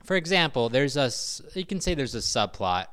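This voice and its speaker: A man's voice: medium pitch, very animated, a little fast, a bit bright, smooth. A man co-hosting a casual film discussion podcast, with a dry, skeptical and contrarian manner. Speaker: podcast co-host.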